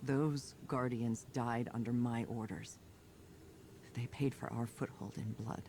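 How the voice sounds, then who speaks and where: A man's voice: mid-range voice, steady tone, slow pace, creaky, darkish tone, a little hiss or hum. Resolute, burdened male commander. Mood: grim, regretful. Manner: earnest, firm. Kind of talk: video game story monologue.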